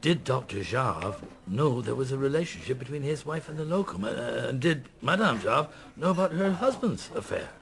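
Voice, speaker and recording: A man's voice: medium pitch, animated, moderate pace, hardly any pauses, slight background noise, natural voice. An actor playing a pensive middle-aged police detective in a radio drama, musing and hesitant as he mutters his thoughts. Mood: curious. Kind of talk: naturalistic radio drama acting.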